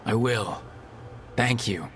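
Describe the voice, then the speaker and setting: A man's voice: on the low side, slow pace, fairly bright tone, slight background noise. A man playing a kind, earnest hero who leads a team in a fantasy game, with a reassuring, supportive manner. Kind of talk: fantasy video game acting. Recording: game dialogue with music behind.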